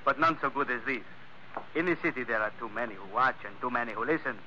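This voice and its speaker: A man's voice: medium pitch, quick pace, pushed and bright. An actor playing a genial, scheming gangster in his thirties with a Spanish accent and stilted, insinuating English, in 1940s radio style. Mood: oily, friendly. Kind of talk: 1940s radio adventure acting. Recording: narrow, slightly noisy old radio recording.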